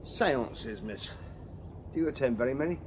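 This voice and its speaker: A man's voice: medium pitch, lively intonation, normal speed, noisy background, lightly acted. A man playing a shrewd Victorian police sergeant. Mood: courteous. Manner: dry, wry questioning. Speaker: detective sergeant. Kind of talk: radio drama acting.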